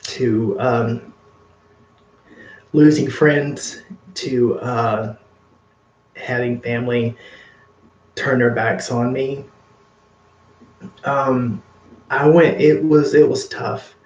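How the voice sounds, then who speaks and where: A man's voice: medium pitch, steady tone, drawn-out words, pauses often, a little mellow, reverberant, highs cut off. A man casually chatting to his livestream viewers, rambling and earnest with many fillers. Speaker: makeup vlogger.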